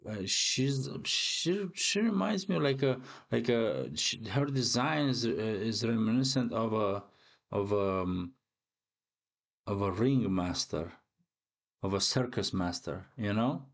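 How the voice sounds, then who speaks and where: A man's voice: fairly low pitch, expressive, slow, gravelly. A man hosting a reaction video, chatting casually and musing aloud with hesitant, repeated phrases. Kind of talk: casual chat, live reaction.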